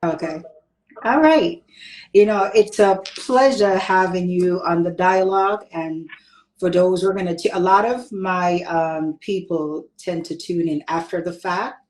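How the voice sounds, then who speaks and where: A woman's voice: fairly low pitch, a little slow, a little mellow, reverberant, low-quality recording. A woman hosting her own interview show, chatty and meandering, often restarting her sentences. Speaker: talk show host. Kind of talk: casual interview chat.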